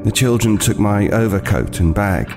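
Haunted, halting homeless man, low voice. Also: animated, music in the background. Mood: uneasy, ominous. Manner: hesitant, halting, haunted, with repeated words. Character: weary, wary homeless man recounting a frightening night. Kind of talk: dramatic first-person storytelling in an audio play.

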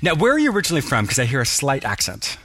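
A man's voice: mid-range voice, wildly swooping pitch, rapid-fire, a bit bright, husky, dry recording, clean studio sound. A man hosting an interview show, enthusiastic and affirming, often laughing. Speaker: podcast host.

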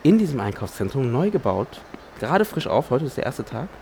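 A man's voice: mid-range voice, sing-song, fairly quick, vocal fry, warm tone, a little hiss or hum. A man narrating an improvised scene setup, hesitant and often searching for his words.

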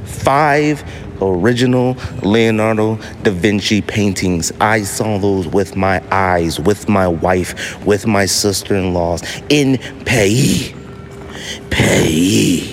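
A man hosting a podcast, giving a casual, opinionated monologue in an animated, slangy way. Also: lowish voice, slow pace, slight background noise. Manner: animated, slangy, acts out imagined quotes. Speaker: podcast host.

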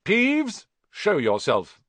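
A man's voice: mid-range voice, very animated, brisk, fairly bright tone, dry recording. Brief: male reader voicing an officious teen prefect, stern and clipped, dry sound. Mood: bossy. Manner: stern and commanding. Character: bossy officious teenage boy prefect.

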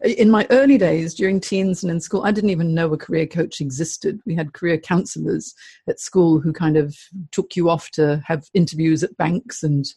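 A middle-aged woman from Hong Kong, a career coach, telling her story in a chatty, animated way as an interview guest. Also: low-pitched, moderate pace, husky, no room sound. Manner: chatty, animated, laughs often.

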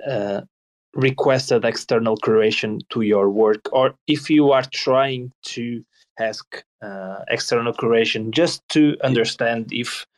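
A man hosting an online audio chat, encouraging the speakers and talking in halting phrases with many restarts. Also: mid-range voice. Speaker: host. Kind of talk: casual chat.

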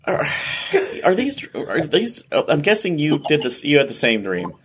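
A man's voice: a little high, sing-song, moderate pace, a little hiss or hum. A man playing a wary adventurer shaken by nightmares, talking hesitantly and stammering in character. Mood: uneasy. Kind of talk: in-character tabletop roleplay.

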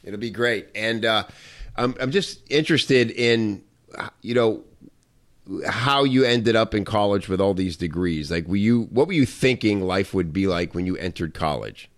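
A man hosts a podcast interview, praising his guest with enthusiasm before asking questions. He rambles a little and leans on fillers like "uh" and "you know." His voice sits on the low side. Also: lively intonation, moderate pace. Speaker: podcast host. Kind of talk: interview conversation.

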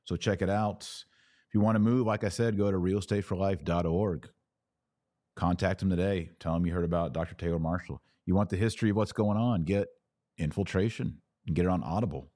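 Deep-voiced man, emphatic religious podcast host. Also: steady tone, fairly quick, warm tone, no room sound, clean studio sound. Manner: emphatic, conversational, acts out imagined dialogue. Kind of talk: informal religious commentary, addressing listeners.

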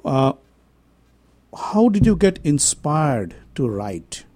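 Male radio host, mid-pitched mellow voice, dry studio sound, polite and halting. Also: sing-song, no room sound. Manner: hesitant, many fillers, polite and welcoming. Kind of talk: radio interview.

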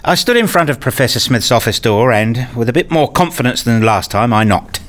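A man's voice: mid-range voice, animated, fairly quick, a bit bright, lightly acted. A man acting a frustrated, restless academic who narrates his dreary days in a radio play, wry and grumbling. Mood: glum, matter-of-fact. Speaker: narrator and lead character. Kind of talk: first-person radio play narration and dialogue.

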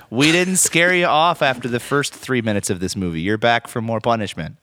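An American man hosting a podcast, chatting casually and jokily about a movie in an animated way. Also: mid-range voice, moderate pace, slight background noise. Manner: jokey, animated. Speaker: podcast host. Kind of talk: casual comedic movie chat.

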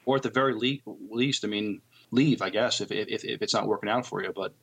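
A man co-hosting a podcast, chatting casually in a halting, hesitant way and often repeating words. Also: lowish voice, fairly even intonation, creaky, a bit bright, husky. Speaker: podcast co-host. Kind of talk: casual chat.

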